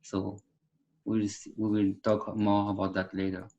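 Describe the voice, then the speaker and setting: A man's voice: fairly low pitch, monotone, darkish tone, dull audio. Man, earnest. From France. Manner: earnest, halting with fillers. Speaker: therapist, co-host. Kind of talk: casual self-introduction.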